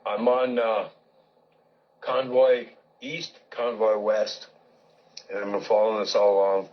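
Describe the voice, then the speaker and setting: A man on CB radio, explaining in casual, halting chatter. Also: lowish voice, little pitch movement, a little hiss or hum. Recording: dull lo-fi CB radio, phone-recorded.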